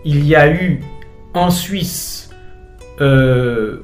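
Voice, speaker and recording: A middle-aged man from Switzerland, a pastor being interviewed on the radio, speaking thoughtfully with frequent pauses and hesitations. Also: on the high side, echoey room, soft background music.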